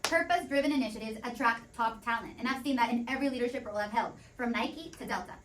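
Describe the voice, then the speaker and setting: A woman's voice: a little high, fast, noisy background. A woman giving a scripted debate argument as a panelist in a business role play. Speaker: panelist playing an executive. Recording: roomy, distant from the mic.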